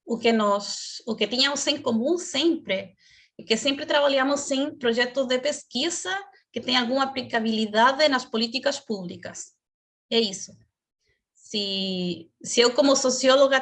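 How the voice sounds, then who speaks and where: A woman speaking as a guest in a career webinar over a video call, explaining in a measured way and stopping now and then to search for words. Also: fairly high pitch, a bit bright.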